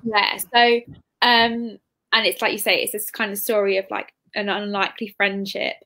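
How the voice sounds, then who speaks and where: A woman's voice: mid-range voice, little pitch movement, moderate pace, pushed and bright. A woman, hosting a casual interview on a video call in an informal, slightly hesitant way. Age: 20s. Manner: informal, hesitant, often says "like". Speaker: interview host, bookshop assistant manager. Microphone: computer mic on video call.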